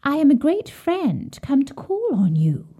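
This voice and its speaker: A woman voicing a sly male fox who speaks in a soft, whispery, falsely gentle voice. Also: sits high, huge pitch swings, a little slow, dull audio, pitched above the performer's own voice, full character voice. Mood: wheedling.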